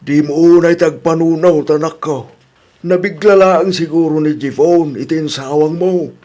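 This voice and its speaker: Older father, male actor, high-pitched voice, patient and advising, radio drama. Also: moderate pace, dark tone, natural voice. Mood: gently advising. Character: older father, a calm, advising Filipino grandfather. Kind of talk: Ilocano radio drama acting.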